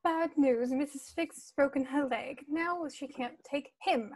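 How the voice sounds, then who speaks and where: A woman's voice: high voice, measured pace. A woman playing a fussy mother who dotes and snaps, in an amateur screenplay table read. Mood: snappish. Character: doting, fussy mother and snappish aunt.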